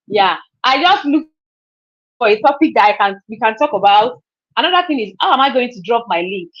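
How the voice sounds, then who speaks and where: A woman's voice: on the high side, expressive, brisk. A woman, chatting casually on a live stream, repeating herself and laughing often. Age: 30s. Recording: dull lo-fi stream audio.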